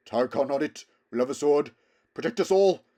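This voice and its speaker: A man's voice: fairly high pitch, lively intonation, moderate pace, warm tone, voice pushed up, close to an everyday voice. A man voicing an indignant, blustering talking horse in a storybook, with emphatic, snorting delivery. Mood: breathless. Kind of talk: storybook character voice.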